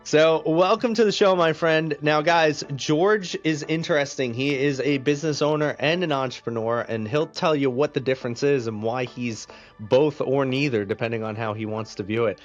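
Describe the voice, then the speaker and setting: A man's voice: medium pitch, normal speed, soft background music. Podcast host man, dull lo-fi sound. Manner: upbeat, conversational, asks loose questions. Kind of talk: casual podcast hosting.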